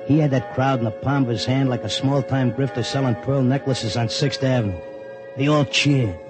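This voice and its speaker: An actor in a 1950s radio drama playing a streetwise, wisecracking racetrack hustler who also narrates. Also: male voice, mid-range voice, steady tone, normal speed, over loud music, natural voice. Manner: streetwise slang, hard-boiled. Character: wisecracking racetrack hustler, tough-talking husband. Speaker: narrator and lead.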